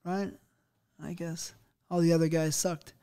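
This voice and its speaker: High-voiced boisterous male podcast host. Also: little pitch movement, unhurried, mellow, clean tone. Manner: boisterous, crude jokes, laughs a lot. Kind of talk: casual interview chat. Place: small podcast studio indoors. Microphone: studio microphone, wearing headphones.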